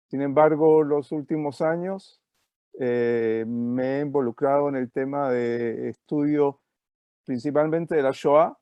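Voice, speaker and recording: A man from Chile with a Chilean Spanish accent, giving an online lecture over a video call and pausing often with filler sounds. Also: mid-range voice, mellow, dry recording. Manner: hesitant, many fillers. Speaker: guest speaker.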